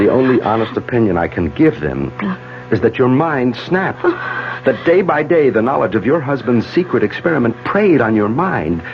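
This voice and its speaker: An actor playing an anguished, earnest man in a 1970s radio drama, speaking urgently and haltingly with heavy emphasis. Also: mid-range voice, sing-song, noisy background. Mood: grave, persuading. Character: anguished, earnest man protecting a troubled woman. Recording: dull 1970s AM radio broadcast, faint music.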